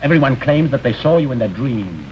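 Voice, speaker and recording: A man's voice: medium pitch, animated, brisk, lightly acted. A determined male police detective acted by a man in a vintage TV crime drama. He is blunt and wisecracking, with clipped delivery. The soundtrack is dull and hissy with age. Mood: probing, sardonic. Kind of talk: 1950s television crime drama acting.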